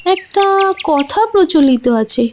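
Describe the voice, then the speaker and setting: A woman's voice: very high voice, animated, slow pace, darkish tone, a little hiss or hum, heavily acted. A woman voicing a cheerful, chatty little female tailorbird in a children's cartoon, folksy and affectionate. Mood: earnest.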